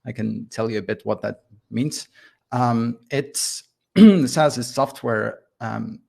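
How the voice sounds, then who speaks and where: A man's voice: mid-range voice, slow pace, gravelly, darkish tone, breathy edge. A man from Estonia with a light Estonian accent, a guest explaining his work step by step in a casual interview. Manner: thoughtful, explains step by step with fillers. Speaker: guest, software founder.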